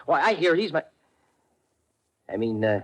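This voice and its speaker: A cocky young gangster boss from a radio crime drama. He swings widely in pitch as he barks slangy, bossy orders with tough swagger. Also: sing-song, a little slow. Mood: excited, irritated. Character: brash, cocky young gangster boss posing as a dead leader. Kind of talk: old-time radio crime drama acting.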